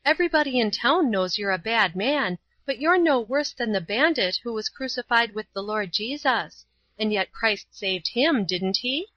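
A woman's voice: fairly high pitch, expressive, normal speed, pushed and bright, lo-fi sound, voice pushed up. A woman voicing a pure, earnest young girl who pleads fervently with a hardened man.

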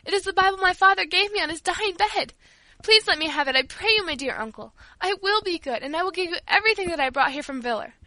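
A woman's voice: high voice, brisk, hardly any pauses, sharp tone, dry recording. A woman voicing a devout, timid young orphan girl in an audiobook, polite and imploring. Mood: tearful pleading.

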